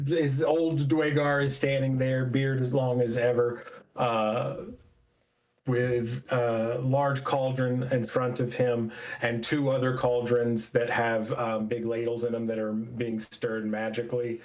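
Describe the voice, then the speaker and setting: A man narrating an improvised tabletop game as game master, descriptive and halting as he builds the scene. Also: mid-range voice, little pitch movement, darkish tone.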